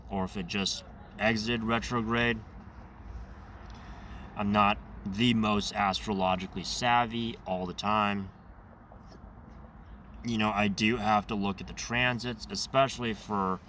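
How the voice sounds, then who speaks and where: A man with a lowish, raspy voice that turns a little nasal, sounding congested and wired on caffeine. He drifts along slowly in a rambling monologue, correcting himself as he goes. He sits a bit back from the mic, with a bright edge to the sound and faint noise behind him. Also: distant mic. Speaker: channel host. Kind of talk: casual monologue.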